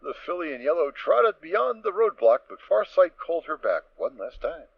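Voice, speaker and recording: A man with a mid-pitched voice reads a story as its narrator. His dramatic delivery moves up and down in a lively way. The sound is dry and slightly muffled. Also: normal speed, gravelly, no echo.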